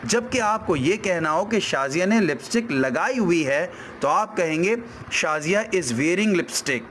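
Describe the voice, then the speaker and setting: A man with a sore throat, teaching a language lesson slowly and repeating each example sentence. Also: sits high, expressive, a bit bright, a little hiss or hum.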